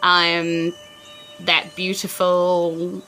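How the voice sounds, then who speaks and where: A woman's voice: lowish voice, monotone, very slow, bright, forceful tone, faint music behind, rough recording. A woman with a New Zealand accent, running a tabletop game and painting scenes for her players with lots of "like" and "you know". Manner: vivid, searching descriptions full of "like" and "you know". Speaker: game master.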